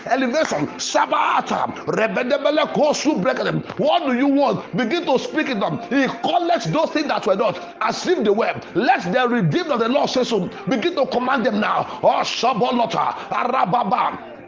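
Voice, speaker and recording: A man preaching fervently in a Pentecostal prayer style, with forceful, repetitive declarations. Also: very high voice, big pitch swings, moderate pace. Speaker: preacher leading a prayer podcast.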